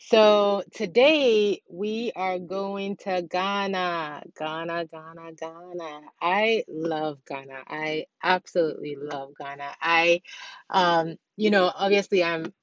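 A woman's voice: fairly low pitch, takes it slowly, dry recording, dull audio. A young woman from Nigeria hosting a podcast, chatting casually from inside her car with lots of fillers and restarts. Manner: rambling, many fillers and restarts. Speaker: podcast host. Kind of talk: casual solo podcast chat.

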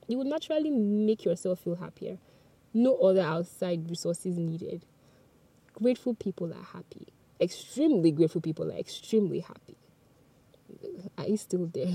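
A woman's voice: on the low side, warm tone, slightly rough. A woman whose first language is Yoruba, giving an earnest scripted motivational talk on a podcast and speaking straight to the listener. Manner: earnest and chatty, addresses the listener directly.

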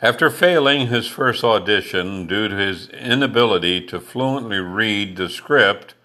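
Slow, measured older man host. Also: on the low side, sharp tone. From Canada. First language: Canadian French. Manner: measured, deliberate reading. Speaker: podcast host. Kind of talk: scripted biographical narration.

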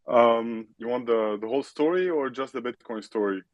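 A man's voice: medium pitch, dry recording, lo-fi sound. Lebanese man telling his story, dry sound. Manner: measured, with frequent restarts and fillers. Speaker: guest. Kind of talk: recorded online audio chat.

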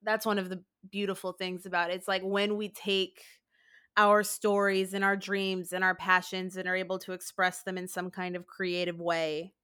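Bright-voiced confident woman in conversation. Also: on the low side, level tone, moderate pace, clean tone. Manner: confident, emphatic, joking. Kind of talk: casual conversation.

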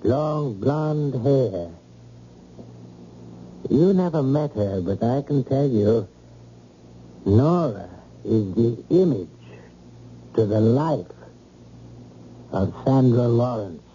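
A man's voice: medium pitch, animated, warm tone. An actor playing a controlled, probing man who takes charge, in an old-time radio drama. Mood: grave, deliberate. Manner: deliberate, controlled, authoritative. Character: calm, probing man taking charge of a crisis. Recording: muffled, hissy vintage radio broadcast.

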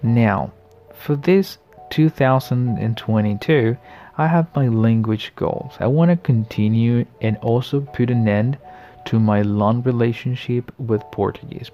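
A man in his late twenties hosting a casual solo podcast, talking in a friendly, reflective way, often pausing and restarting his sentences. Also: medium pitch, muffled recording. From the Americas. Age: late 20s. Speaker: podcast host.

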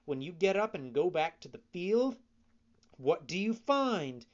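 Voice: mid-range voice, dull audio, pitched above the performer's own voice. A reader doing a sly coaxing fox. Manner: persuasive, coaxing, mock-offended. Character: sly smooth-talking fox swindler. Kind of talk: audiobook reading.

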